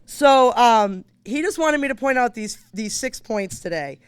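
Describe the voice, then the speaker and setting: A hoarse woman gives a campaign speech on someone's behalf. She talks chattily, breaking off mid-sentence for casual asides, and her voice still comes through smooth and clear. The sound is dry and close. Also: on the high side, lively intonation, measured pace, no echo. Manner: chatty, self-interrupting, informal asides. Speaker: campaign surrogate speaker. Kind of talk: stump speech at a candidates night.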